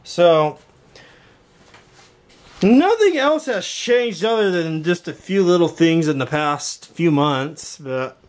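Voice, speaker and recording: A man giving a casual walkthrough vlog in an indoor workshop, rambling and halting as he thinks aloud. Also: sits high. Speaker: video creator showing a home intercom and speaker system.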